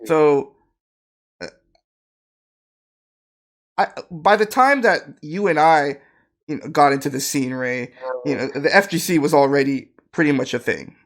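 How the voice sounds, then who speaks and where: A man's voice: a little high, unhurried, long pauses. A man hosting a podcast, asking his guest questions in an easygoing way and saying "you know" often. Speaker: podcast host. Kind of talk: interviewing a guest.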